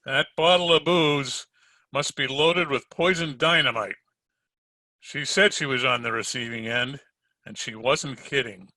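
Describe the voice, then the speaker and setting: Man playing a bright-voiced hardboiled private eye. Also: mid-range voice, a little slow. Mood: grim, wry. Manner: wry, tough-talking, sardonic. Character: wisecracking hardboiled male private detective, unflappable. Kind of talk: old-time radio detective acting and narration. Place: home recording over video call.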